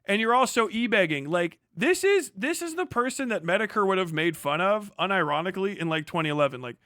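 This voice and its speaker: High voiced guy on a stream, sarcastic sweary rant, really dry close sound. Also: lively intonation, fairly quick, sharp tone, dry recording. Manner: sarcastic, exasperated ranting. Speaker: livestream host. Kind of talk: profane mocking reaction commentary.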